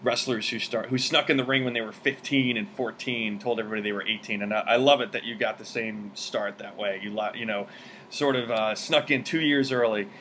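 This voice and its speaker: A man's voice: fairly low pitch, steady tone, fairly quick, a bit bright, poor audio. Thirtysomething male podcast host, faint hiss. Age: 30s. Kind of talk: casual interview chat.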